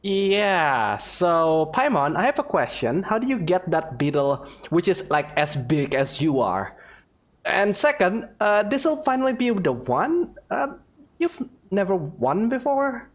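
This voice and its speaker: A man's voice: sits high, sing-song, takes it slowly. A man giving casual let's play commentary, with joking, teasing asides. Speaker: gaming video creator.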